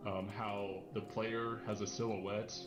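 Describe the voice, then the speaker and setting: A man's voice: on the low side, level tone, takes it slowly. A man co-hosting a tutorial, chatting casually. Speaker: tutorial co-host. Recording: roomy echo, music behind. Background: background music.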